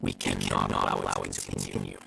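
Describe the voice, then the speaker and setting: A man playing a stern, principled lawman in an anime game, speaking in a formal, deliberate, severe manner. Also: very deep voice, little pitch movement, vocal fry, background noise, full character voice.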